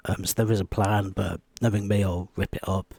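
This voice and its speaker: A quiet-voiced man giving a rambling, off-the-cuff Bible study video, with many ums and restarts. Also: sits low, flat delivery, fairly quick, gravelly, husky, no room sound. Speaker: Bible teacher.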